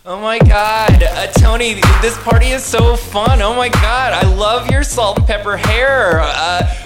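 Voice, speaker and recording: A young sorority woman acted out by a female improviser with a fairly high voice. She is friendly, gushing and hesitant, in casual campus speech for a radio comedy. Loud techno plays behind her. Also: unhurried, close to an everyday voice. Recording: loud electronic dance music behind.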